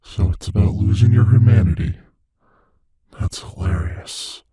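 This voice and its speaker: A man playing a gleefully cruel monster from under the bed in an intimate ASMR audio roleplay, taunting and menacing in a breathy, whispery voice. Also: deep voice, animated, mellow, dry recording, clean studio sound, exaggerated voice. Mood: gloating, cruel amusement. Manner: slow, taunting, menacing, savoring words.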